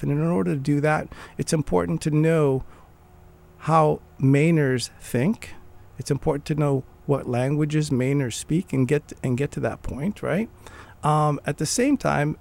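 Gravelly man in earnest conversation. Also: a little high, darkish tone. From Morocco. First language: Arabic. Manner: earnest, restarts phrases while thinking. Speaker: guest. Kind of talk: conversational interview.

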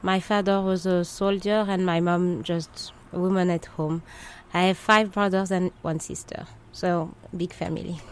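A woman's voice: lowish voice, steady tone, measured pace, hardly any pauses, smooth. A woman from the Democratic Republic of Congo with a Congolese French accent, telling her life story as an interview guest in hesitant, short English phrases. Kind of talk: conversational personal life story.

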